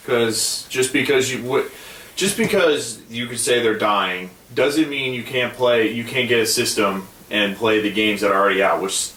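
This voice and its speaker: A lowish, bright-toned man chats easily on a gaming podcast, circling his point with plenty of "like" and "I mean". He sits a little back from the mic in an echoey room. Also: far from the mic. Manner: easygoing, argues his point with many fillers. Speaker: podcast co-host. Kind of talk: casual gaming podcast chat.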